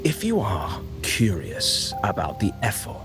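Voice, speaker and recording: Courtly wandering bard for a fantasy game: lowish voice, eloquent, measured, mysterious storytelling delivery. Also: male voice, wildly swooping pitch, over music, exaggerated voice. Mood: courteous. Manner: eloquent, theatrical, measured, poetic. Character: cryptic, courtly male traveling poet and storyteller. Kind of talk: dramatic fantasy game voice acting.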